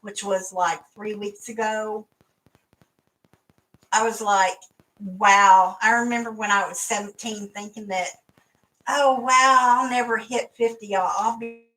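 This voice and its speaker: A woman's voice: mid-range voice, sharp tone, distant mic, low-quality recording. A woman in her fifties chatting casually with family as a mother, rambling and laughing often. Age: 50s. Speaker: mother and co-host.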